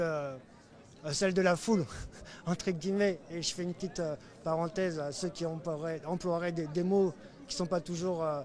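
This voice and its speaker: A man's voice: fairly high pitch, darkish tone. A man who is a local elected official, from France, answering a street interview casually with many fillers. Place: outdoors in a city.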